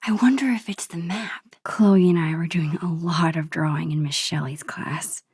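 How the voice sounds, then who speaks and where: A woman voicing a shy, thoughtful young American teenage girl in a video game, in a soft, breathy, musing inner-monologue voice. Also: fairly low pitch, moderate pace. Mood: musing, nostalgic. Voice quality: breathy, hushed.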